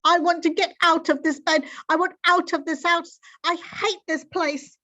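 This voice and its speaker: A woman voicing a distraught, demanding teenage girl who sobs and yells, in a storybook reading. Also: very high-pitched, steady tone, moderate pace, lo-fi sound, voice pushed up. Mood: sobbing, desperate. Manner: sobbing, yelling.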